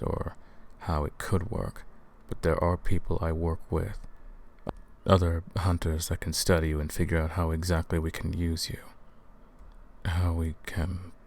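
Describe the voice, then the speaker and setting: A man performs a centuries-old male vampire turned hunter, weighed down by guilt yet driven. The voice sits low and moves slowly, hushed and somber, with halting pauses. It is an intimate ASMR roleplay monologue. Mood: apologetic, earnest.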